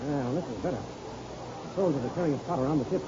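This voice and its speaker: An actor playing a steady, decisive pilot mentor giving brisk, slangy instructions in a 1930s radio adventure serial. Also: male voice, fairly high pitch, close to an everyday voice. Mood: relieved. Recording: dull, noisy old radio recording.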